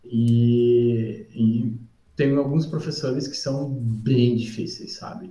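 A man speaks in a warm, dark tone, relaxed and rambling as he recalls his student years. He keeps checking in with his listener through small tag questions. The room gives his voice a noticeable echo. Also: mid-range voice. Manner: relaxed, rambling, with frequent "tá?" and "sabe?" tags. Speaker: podcast guest, civil engineer. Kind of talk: casual storytelling. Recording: roomy echo.

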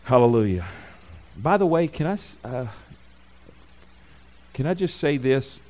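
A man's voice: big pitch swings, a little slow. Rambling, emphatic male preacher. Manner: rambling, self-correcting, calls for amens; rises loud and high when emphatic. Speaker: pastor. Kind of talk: informal preaching to a congregation.

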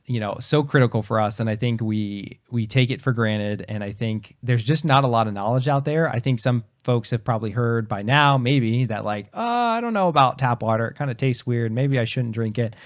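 Man explaining at an easy, steady pace. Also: mid-range voice, moderate pace. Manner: explanatory, many fillers and restarts. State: recovering from a lost voice. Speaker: podcast co-host. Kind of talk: casual chat.

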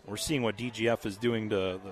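A man with a somewhat low voice calls a local high school football game play by play. His voice is scratchy tonight, and he keeps a steady, measured pace with casual fillers between plays. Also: a faint crowd. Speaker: play-by-play announcer.

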